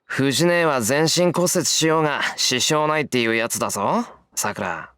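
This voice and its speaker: A man's voice: mid-range voice, fast talker, a bit bright, smooth, no echo, close to an everyday voice. A man voicing a kind, stubborn teenage schoolboy in a visual novel, casual and frank in manner. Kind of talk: Japanese visual novel voice acting. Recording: music behind the voice.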